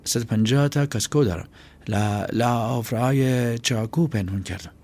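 Guy doing a nervous kid bluffing, stammery and squeaky. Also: darkish tone, close to an everyday voice. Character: panicky young boy bird smuggler, bluffing.

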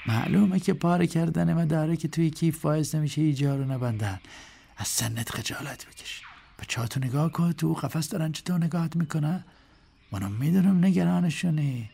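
A man doing a calm, firm woman director. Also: a little high, gravelly, a little hiss or hum, voice pushed up. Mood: scolding. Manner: soft, slow coaxing, sometimes near whisper. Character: middle-aged woman zoo director, calm but firm. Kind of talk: audiobook character voice.

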